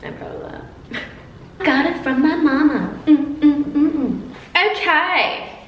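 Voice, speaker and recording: A woman's voice: high voice, slow, far from the mic, highs cut off. A young woman from the United States answering fan questions in a playful, jokey self-interview vlog, with big swings of inflection. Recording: roomy echo, faint noise.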